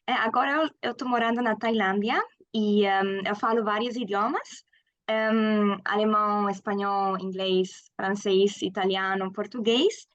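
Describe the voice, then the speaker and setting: A woman's voice: mid-range voice, steady tone, measured pace, a bit bright, no room sound, highs cut off. German woman in her twenties, guest, hesitant and full of fillers, dry close sound. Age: 20s. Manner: hesitant with frequent fillers, laughs often. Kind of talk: casual interview.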